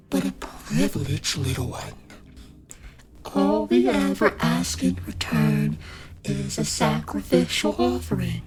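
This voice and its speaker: A woman playing a menacing, haughty dark spirit lord in a horror fantasy ASMR roleplay, speaking in archaic, imperious, deliberate phrases. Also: fairly high pitch, exaggerated voice.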